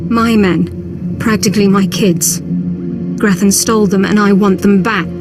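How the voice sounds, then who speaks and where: A woman's voice: mid-range voice, steady tone, measured pace, close to an everyday voice. Woman as a frank, tough army officer. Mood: frank, exasperated. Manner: frank, no-nonsense. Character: weary, tough, protective female military officer. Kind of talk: video game voice acting. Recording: music under the voice.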